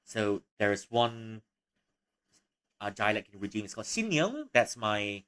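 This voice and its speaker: A young man from Malaysia chatting casually on a livestream, rambling with frequent restarts and soft laughs. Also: on the low side, big pitch swings, measured pace.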